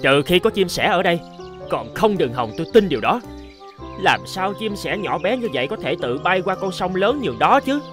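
A man's voice: sits high, big pitch swings, moderate pace, music in the background. A man voicing a boastful, scornful, scheming crow in a children's cartoon, with a smug, theatrical delivery.

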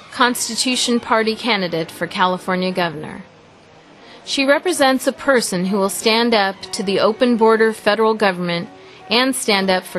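A woman reading a scripted promotional introduction in a measured, formal way. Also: medium pitch, a bit bright. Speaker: narrator. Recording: music bed underneath.

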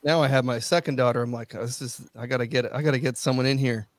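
A man's voice: mid-range voice, fairly even intonation, fast talker, no room sound. A man in his thirties co-hosting a podcast, talking in a loose, self-deprecating, slangy way. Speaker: podcast co-host. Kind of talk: casual video call chat.

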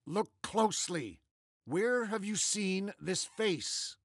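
An actor playing a smug, theatrical, easily exasperated older man from the future in an animated comedy. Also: high voice, takes it slowly, husky, no echo, clean studio sound, heavily acted. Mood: impatient. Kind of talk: animated comedy character acting.

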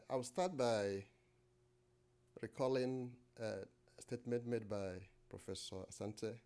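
A middle-aged Nigerian man giving a lecture at a podium microphone in an indoor lecture room, pausing often with fillers and restarts. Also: on the low side, dark tone. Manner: hesitant, many fillers and restarts. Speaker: guest lecturer.

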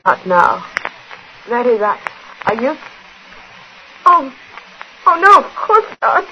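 A woman acting in a 1940s radio drama as a fluttery, lovelorn gentlewoman, hesitant and distraught. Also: on the high side, sing-song, slow, lo-fi sound, heavily acted. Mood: flustered. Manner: hesitant, faltering. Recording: noisy old radio recording.

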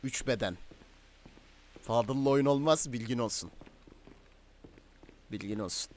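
A man's voice: medium pitch, measured pace, a little hiss or hum, natural voice. Man playing a stern, menacing crime boss. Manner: domineering, interrogating, issuing orders and threats. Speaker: gang boss in an online roleplay game. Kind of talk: improvised game roleplay dialogue.

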